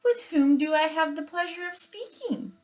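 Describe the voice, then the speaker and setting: A woman voicing a polite, gentle female robot in a storybook, with a courteous and friendly manner. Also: extremely high pitch, lively intonation, normal speed, voice pushed up.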